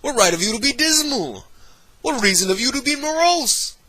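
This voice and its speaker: A man voicing a cheerful, warm-hearted young man who speaks in bright, exclaiming phrases. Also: extremely high pitch, sing-song, moderate pace, no echo, voice pushed up, heavily acted.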